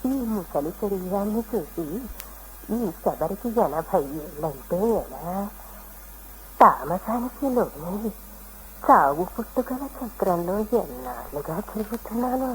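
A woman's voice: on the low side, animated, unhurried. An actress playing an elderly, devout hermit woman in a Telugu radio play, tender and emotive, anxiously awaiting her lord. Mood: earnest yearning. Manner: emotive, devotional, lingering delivery. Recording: noisy old radio play recording.

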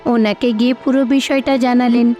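High-voiced woman narrating a storybook. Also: fairly even intonation, moderate pace, dark tone. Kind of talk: scripted storybook narration for a cartoon. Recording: slightly dull audio with music behind.

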